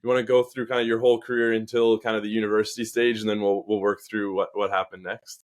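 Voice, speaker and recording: A man's voice: fairly low pitch, little pitch movement, fast. A man hosting a podcast, asking questions in a casual conversational interview style. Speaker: podcast host.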